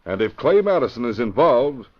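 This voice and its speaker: An actor playing a firm, determined police commissioner, formal and emphatic, in an old-time radio drama. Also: male voice, medium pitch, big pitch swings, moderate pace. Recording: muffled, faintly noisy vintage radio recording.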